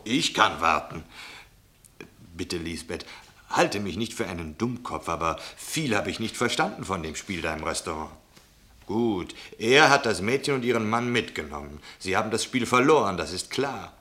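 Incredulous outsider, lively pitch, unhurried, down-to-earth, questioning, radio drama. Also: male voice, exaggerated voice. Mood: impatient, polite. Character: sceptical, baffled male outsider, down-to-earth. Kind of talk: radio play acting.

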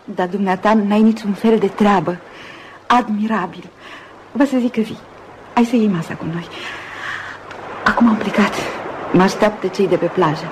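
An actress playing a gentle, earnest married lady on holiday in a radio play, speaking politely. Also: medium pitch, pauses often, noisy background, natural voice. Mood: warmly insistent. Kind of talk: Romanian radio theatre acting.

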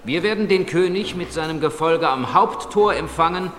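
A man's voice: fairly high pitch, moderate pace, a bit bright, close to an everyday voice. An actor playing a formal, ceremonious schoolmaster proclaiming an address to his college in a radio drama. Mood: solemn, proclaiming. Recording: echoey hall with long reverb.

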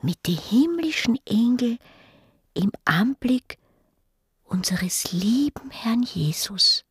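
Slow, halting, devout Austrian mother. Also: fairly high pitch, sing-song, husky, dry recording, studio quality, full character voice. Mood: entranced, reverent. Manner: halting, repetitive, childlike simple wording. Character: devout, mentally confused mother confessing to killing her daughter. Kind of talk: naturalistic radio drama monologue.

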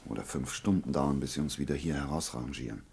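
A man's voice: a bass voice, fast, creaky, a little mellow. An actor in a German radio play, playing a protective man who soothes his beloved in an insistent, emotional manner. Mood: soothing.

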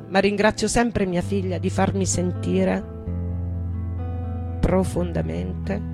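Woman as a slow, intense rock poetess over guitar. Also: fairly low pitch. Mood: defiant, pleading. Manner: slow, deliberate, intense delivery with repeated phrases. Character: American woman rock poet, passionate, defiant, tender. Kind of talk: dramatic monologue and poetry reading over guitar. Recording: live guitar music behind the voice. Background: guitar playing.

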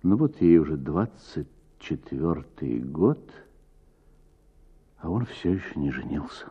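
A man reading a literary short story as a radio narrator, with measured, dramatic phrasing and drawn-out words. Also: a bass voice, expressive, dark tone, breathy edge, muffled recording.

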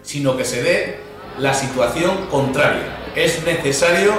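A man's voice: on the high side, a little slow, noisy background. A man with an accent from Spain giving a declamatory, emphatic political speech. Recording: very echoey distant speech clip, crowd.

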